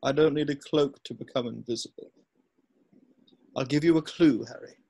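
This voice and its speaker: A man playing a wise, gentle old wizard headmaster in a scripted read, speaking in measured, formal phrases. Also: mid-range voice, breathy edge. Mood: gentle, solemn. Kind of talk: scripted table read acting.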